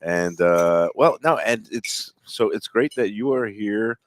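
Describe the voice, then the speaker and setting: A man's voice: on the low side, lively intonation, slow. A man hosting a casual lunch talk show, affable and rambling with lots of fillers.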